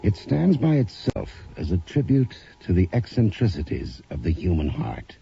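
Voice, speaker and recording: A gravel-voiced sinister horror host. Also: male voice, wildly swooping pitch, normal speed, warm tone, heavily acted. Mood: ominous. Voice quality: very deep gravelly voice. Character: sinister male host of horror radio, brooding. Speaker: series host narrator. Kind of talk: ominous radio drama narration. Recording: dull vintage radio broadcast with music.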